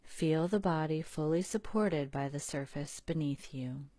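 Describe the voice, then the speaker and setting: Female meditation guide, low-pitched and soothing, very slow delivery, dry close sound. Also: no echo. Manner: calm, unhurried, soothing, with long pauses. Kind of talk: guided relaxation narration.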